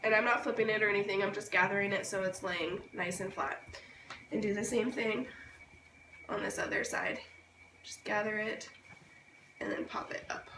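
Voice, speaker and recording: A hoarse, sick-sounding woman giving a casual, informal step-by-step hands-on tutorial. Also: mid-range voice, fairly even intonation, moderate pace, long pauses. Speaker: babywearing tutorial host. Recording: roomy, distant mic, noisy background.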